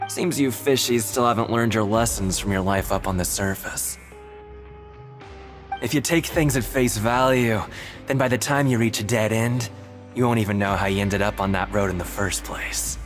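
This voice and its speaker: A man's voice: lowish voice, normal speed, faint music behind, close to an everyday voice. A man voicing a sly, streetwise prisoner in a video game, teasing and matey as he hints at secrets. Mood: mocking. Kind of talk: video game cutscene voice acting.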